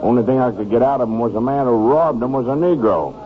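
Actor as a tinny-sounding, blunt sheriff. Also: male voice, medium pitch, animated, fast talker, lightly acted. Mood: businesslike. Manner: blunt, folksy. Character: folksy, blunt, suspicious small-town Texas sheriff. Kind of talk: old-time radio drama acting. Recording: tinny old radio recording.

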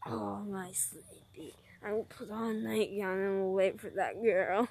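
Sly, hungry storybook wolf, low and hushed, played by a woman. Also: steady tone, measured pace, creaky.

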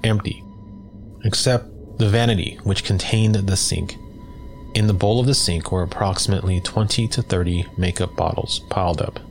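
A man reading a first-person scary story as a scripted narration, in a measured, matter-of-fact way. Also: sits low, steady tone, faint music behind. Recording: clear background noise.

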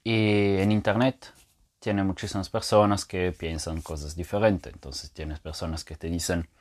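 A man's voice: sits low, steady tone, unhurried. A man teaching as a podcast host, explaining step by step and thinking aloud, with frequent fillers and restarts. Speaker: language teacher.

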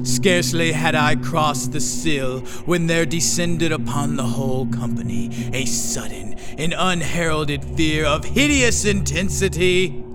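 A man giving a dramatic, deliberate reading of gothic horror fiction, often dropping to a breathy hush. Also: on the high side. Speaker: narrator reading a horror story. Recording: loud music under the voice. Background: loud music.

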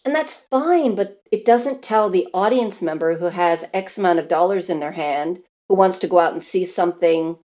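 Mellow low-voiced woman from Canada. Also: moderate pace, few pauses, lo-fi sound. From Canada, grew up in Newfoundland and Alberta. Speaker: interview guest, theatre performer. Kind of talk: casual interview storytelling.

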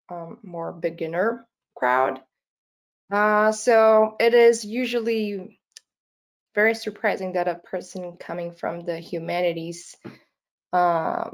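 A woman's voice: medium pitch, darkish tone. Nervous Brazilian woman giving a talk. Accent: Brazilian Portuguese. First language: Portuguese. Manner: nervous, many fillers and restarts, laughs often. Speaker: conference speaker. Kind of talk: conference talk.

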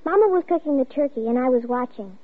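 A young female actor playing an excitable, chatty young girl telling her story in 1950s radio drama style, earnest and childlike. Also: high-pitched, quick pace. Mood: earnest, eager. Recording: muffled old radio broadcast, loud music.

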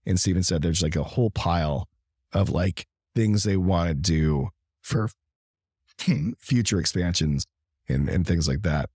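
A man co-hosting a casual gaming livestream, talking in a halting, rambling way as his voice gives out. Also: deep voice, darkish tone, slightly rough, no room sound, studio quality. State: losing his voice. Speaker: livestream and podcast co-host.

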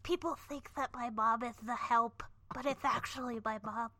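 A woman's voice: a little high, steady tone, moderate pace, sharp tone. A young woman co-hosting a podcast, chatting casually and playfully with lots of giggling. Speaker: podcast co-host. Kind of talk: casual chat.

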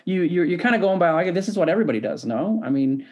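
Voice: medium pitch, big pitch swings, fast, a little mellow. A podcast co-host asking a guest questions in a loose, rambling way, with many restarts. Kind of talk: casual interview chat.

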